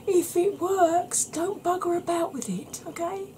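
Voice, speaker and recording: A woman in her thirties chatting to camera at home in a soft ASMR style, rambling and often dropping into whispers. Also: very high voice, unhurried, a little hiss or hum. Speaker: beauty vlogger. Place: small cluttered room at home.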